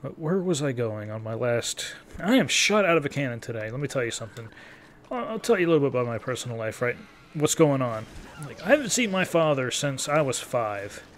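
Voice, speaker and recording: A man in his early thirties giving casual, rambling gameplay commentary in an informal, halting, profane, self-deprecating way. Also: mid-range voice, very animated, slight background noise. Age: early 30s. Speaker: gaming video creator.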